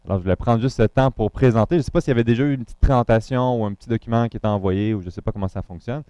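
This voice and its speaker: A man's voice: medium pitch, very fast, dark tone, clean tone, no room sound, dull audio. A man from Montreal with a Quebec French accent, introducing himself to a congregation as a guest pastor, talking loosely with many fillers and restarts.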